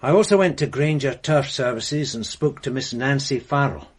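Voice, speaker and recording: An actor playing a dutiful police sergeant in a radio drama, giving formal, factual reports in a British accent. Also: male voice, mid-range voice, lively intonation, normal speed, slightly rough. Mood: dry, official. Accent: British English.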